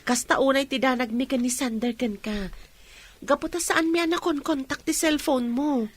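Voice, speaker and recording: A woman's voice: high voice, moderate pace. An actress playing a caring young woman and loyal friend in an Ilocano radio drama, with an Ilocano accent. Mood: concerned, tender.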